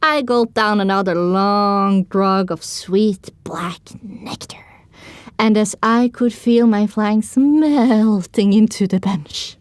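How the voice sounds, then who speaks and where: A woman narrating as a young pony heroine, telling her own story in descriptive, expressive scripted audiobook style. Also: mid-range voice, takes it slowly, dry recording, lightly acted.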